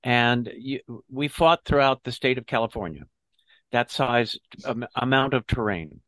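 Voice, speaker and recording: An older man, an army veteran and interview guest, telling his story in a measured, reflective way. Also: mid-range voice, a bit bright, no room sound. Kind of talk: interview recollection.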